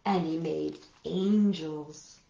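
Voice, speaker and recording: A middle-aged woman reading picture books and chatting to young children as a storytime host, expressive and deliberate, with emphatic repetition, recorded indoors in a living room. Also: low voice, vocal fry. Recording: boxy roomy sound, dull lo-fi.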